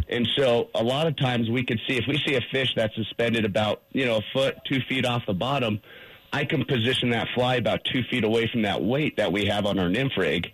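Male radio guest, husky mid-pitched voice, enthusiastic and explanatory. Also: fairly bright tone, rough recording. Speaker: fly shop guest, fishing instructor.

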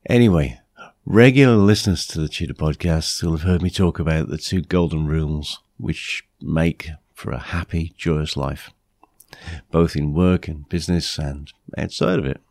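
A British man hosting a podcast with a blunt, plain-spoken manner, his voice croaky from flu. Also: a bass voice, fairly even intonation, normal speed, darkish tone, dry recording, studio quality. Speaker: podcast host. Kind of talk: podcast monologue, anecdotes and advice.

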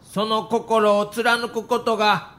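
A man's voice: high voice, flat delivery, slow pace, a bit bright. A man playing a grave, earnest middle-aged baseball manager with a Kansai accent in an audio documentary, speaking politely and solemnly. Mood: solemn resolve. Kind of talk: acted dialogue in audio documentary.

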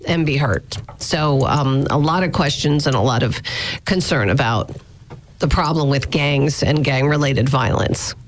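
A woman's voice: very deep voice, unhurried, slight background noise. A woman hosting radio, giving a conversational update on local news. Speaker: radio host.

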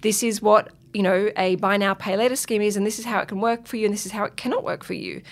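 A woman's voice: medium pitch, expressive, quick pace. A woman, a guest explaining money habits in an earnest, explanatory way. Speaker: podcast guest, money educator. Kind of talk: casual interview.

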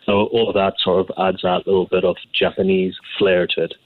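A man's voice: low-pitched, little pitch movement, fairly quick, dry recording, phone-quality sound. A Scottish man, an executive chef, answering questions conversationally in an interview. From Scotland.

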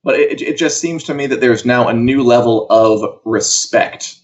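A man's voice: medium pitch, normal speed, muffled recording. A man co-hosting an entertainment show in casual, conversational style. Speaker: co-host of an entertainment news show. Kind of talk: casual conversational pop-culture chat.

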